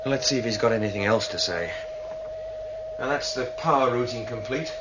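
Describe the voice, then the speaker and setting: A man's voice: medium pitch, moderate pace, natural voice. A man playing a sceptical, practical starship crewman in a 1980 sci-fi radio drama, dismissive and matter-of-fact. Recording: muffled, noisy old radio recording.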